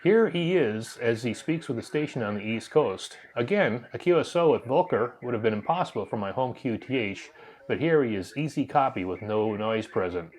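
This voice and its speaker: A man narrates a personal amateur radio story from a script. His voice sits at a medium pitch, with the delivery of a hobbyist presenting his own video. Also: animated, normal speed, darkish tone, low-quality recording.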